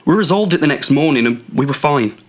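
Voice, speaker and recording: Actor as controlling young boyfriend. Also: male voice, a little high, animated, fairly quick, natural voice. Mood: defensive, measured. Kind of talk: naturalistic student radio drama acting.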